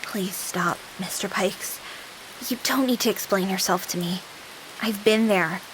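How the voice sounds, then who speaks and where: A woman voicing a polite, caring, girlish AI companion in a video game, speaking in a gentle, measured, formal way. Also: medium pitch, background noise, close to an everyday voice. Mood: tender.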